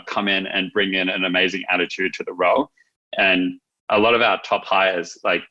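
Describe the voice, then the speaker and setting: A man's voice: deep voice, pushed and bright, dull audio. A man speaking as an interview guest, explaining his business in an informal, wordy, conversational way. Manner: informal, wordy explaining with frequent "like" and "you know". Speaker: business founder, podcast guest.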